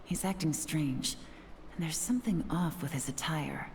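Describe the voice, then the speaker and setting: A woman's voice: deep voice, normal speed, slight background noise. An actress playing a cool, shrewd woman agent in scripted game dialogue, composed, calculating and confident. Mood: watchful.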